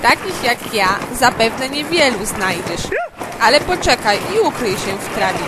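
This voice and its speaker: Woman playing an evasive animal, high and swooping. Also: very animated, a little slow, a loud crowd, heavy background noise. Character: evasive ox and sheep, making excuses. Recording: homemade recording over loud music.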